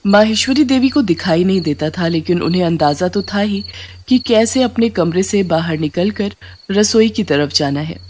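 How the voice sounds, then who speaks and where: A woman's voice: on the low side, lively intonation, normal speed, a little mellow, slight background noise. A woman narrating a scripted story as a storyteller.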